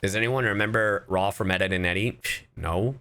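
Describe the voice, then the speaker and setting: A man's voice: fairly low pitch, fairly quick, very noisy. A man doing casual, playful and goofy livestream commentary on a game. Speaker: streamer and voice actor.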